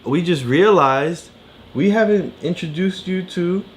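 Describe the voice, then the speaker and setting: A woman's voice: lowish voice, unhurried. A woman co-hosting a casual read-aloud for a child, explaining in an encouraging way with frequent check-in questions. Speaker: parent co-host of a read-aloud. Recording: faint background noise.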